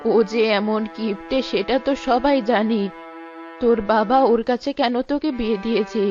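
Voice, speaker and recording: A woman's voice: on the high side, normal speed, natural voice. A woman playing a kindly old village woman in a cartoon, giving motherly, sympathetic advice. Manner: motherly, advising. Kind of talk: cartoon dialogue acting. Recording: dull lo-fi sound, clear music behind.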